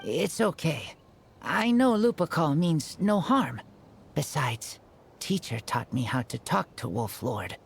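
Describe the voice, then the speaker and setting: A man's voice: a little high, very animated, exaggerated voice. An actor voicing a wild young man raised by wolves, speaking in clipped, broken sentences. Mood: gentle. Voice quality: clipped broken speech, slow and gruff. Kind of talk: game character dialogue.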